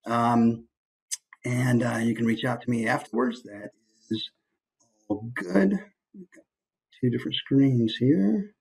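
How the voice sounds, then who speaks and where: A man giving an informal online presentation in an easygoing, conversational way, with hesitant pauses as he goes. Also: medium pitch, vocal fry, a little mellow, slightly rough, low-quality recording. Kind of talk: informal online talk with slides. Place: online video call.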